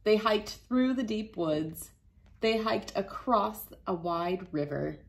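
A woman in her thirties reading a picture book aloud to children, gentle and playful, laughing now and then, recorded on a phone camera indoors. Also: medium pitch, slow pace, vocal fry. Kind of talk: read-aloud storytime for kids. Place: bright room indoors.

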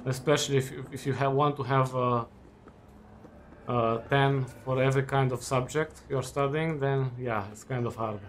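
A young man in his twenties talks casually while he plays, drifting from thought to thought with plenty of "uh"s and restarts. His voice sits at an ordinary middle pitch, with a faint ambient hum underneath. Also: moderate pace. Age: 20s. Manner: relaxed, rambling, frequent fillers and restarts. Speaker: student gaming while chatting. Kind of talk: casual chat over gameplay.

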